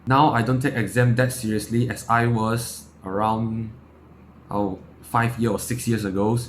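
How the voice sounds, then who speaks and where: A man's voice: on the low side, little pitch movement, a little slow, a little hiss or hum. A young man in his late teens from Malaysia with a Malaysian accent, giving a blunt, sweary, unscripted opinion talk as a vlogger. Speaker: self-improvement vlogger.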